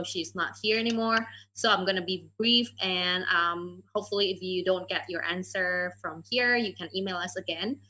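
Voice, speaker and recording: A woman hosts an online Q&A over a video call, reading out audience questions and answering them herself. Her voice is bright and sits at a middle pitch, and she pauses often on "um" and "uh". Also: rough recording. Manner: reads out questions and answers with frequent "um" and "uh". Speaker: moderator and education adviser. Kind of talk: moderating an online Q&A.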